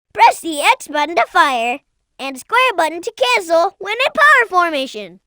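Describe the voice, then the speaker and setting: Young boy fox sidekick, very high childlike pitch, lively intonation, eager, earnest, clearly enunciated, video game hint delivery. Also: no echo, clean studio sound, heavily acted. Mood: helpful, curious. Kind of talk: scripted video game tutorial voice acting.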